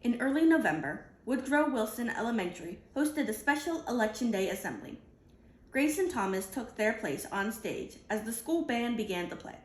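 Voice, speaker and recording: Animated woman reading a storybook, roomy sound. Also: on the high side, moderate pace. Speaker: storybook reader. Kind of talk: expressive children's picture book read-aloud.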